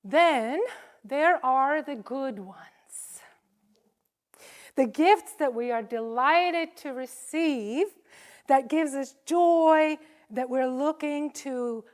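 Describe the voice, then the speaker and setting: A woman giving a conversational sermon to a congregation, speaking as a preacher in short, paused phrases. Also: high-pitched.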